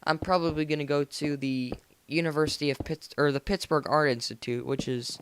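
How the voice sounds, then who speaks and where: A man's voice: a little high, steady tone, brisk, a bit bright, a little hiss or hum. A teenage American male chatting casually as he narrates a gaming video, rambling in an informal, slangy way. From United States. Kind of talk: casual game commentary. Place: voice-over recorded indoors after gameplay.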